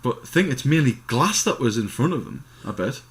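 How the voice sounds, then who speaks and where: A man's voice: medium pitch, big pitch swings, brisk. A man with a Scottish accent chatting casually and informally as a podcast co-host, with some swearing. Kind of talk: casual chat.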